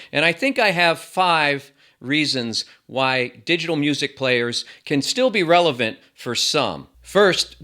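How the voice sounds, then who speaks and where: Bright-voiced man reviewing gadgets. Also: medium pitch. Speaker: tech reviewer, host. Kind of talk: casual gadget review to camera.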